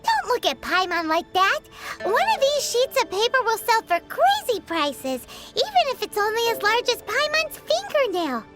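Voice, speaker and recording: A woman voicing a tiny, excitable, greedy and chatty girl companion in a video game, with a squeaky childlike voice and an exclaiming manner. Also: moderate pace, few pauses, music in the background, heavily acted. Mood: excited, greedy. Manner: speaks of self in third person, exclaiming. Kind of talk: video game cutscene voice acting.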